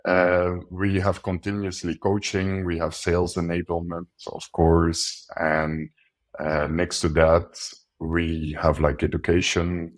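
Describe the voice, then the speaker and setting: Male guest, very low and flat voice, earnest and reflective. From coastal Belgium. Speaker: guest, sales account executive. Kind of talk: casual interview talk.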